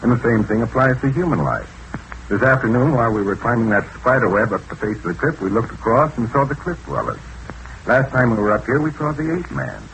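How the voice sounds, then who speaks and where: An actor playing a level-headed, probing male adventurer in an old-time radio serial, speaking in a measured, serious way. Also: medium pitch, big pitch swings, creaky, fairly bright tone. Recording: slightly noisy old radio recording.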